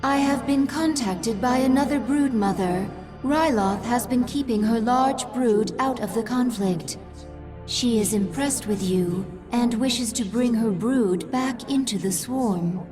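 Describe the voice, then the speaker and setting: Woman as high-voiced, dutiful alien servant creature. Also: a little slow, music in the background, natural voice. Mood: deferential. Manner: formal, deferential. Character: dutiful alien brood creature, female, servile aide. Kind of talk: video game cutscene acting.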